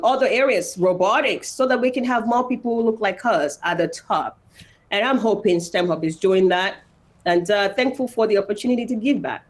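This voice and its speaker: Nigerian lady being interviewed, emphatic motivational talk, repeats stuff for stress, medium pitch. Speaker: interview guest, engineer and foundation founder.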